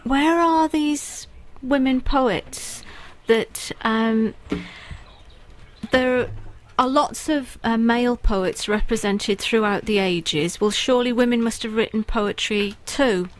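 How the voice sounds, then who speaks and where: A woman poet speaking as a radio guest, earnest and reflective. Her voice is fairly high and she talks slowly, pausing with ums as she gathers her thoughts. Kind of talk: radio interview.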